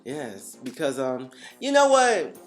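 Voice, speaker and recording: Guy cohosting a podcast, animated and laughing a lot, soft guitar music behind. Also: medium pitch. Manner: animated, laughs often, drawn-out emphasis. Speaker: podcast co-host. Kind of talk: casual chat.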